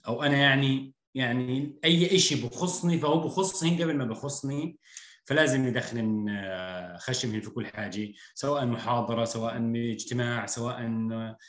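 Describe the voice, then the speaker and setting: A man in his thirties with a rural Palestinian accent, talking frankly as a podcast guest, reflective and quick to laugh. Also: mid-range voice. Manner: candid, reflective, laughs easily. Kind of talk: casual podcast conversation. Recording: echoey room with faint music.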